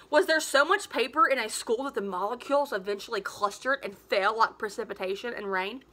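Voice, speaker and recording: A woman in her thirties reading a story aloud like a teacher, with emphatic, expressive delivery. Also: sits high. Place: living room at home, indoors.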